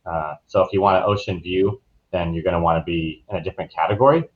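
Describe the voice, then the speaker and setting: A man's voice: sits low, steady tone, fast. A man speaking as an interviewed travel advisor, explaining things in a casual, informal, loosely phrased way. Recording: dull, muffled lo-fi audio.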